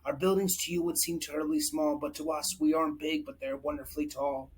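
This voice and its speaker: A man's voice: fairly high pitch, fairly even intonation, brisk, slightly rough. A man voicing a tiny, polite male mayor of a town on a speck of dust in a storybook, speaking small and faintly. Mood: proud.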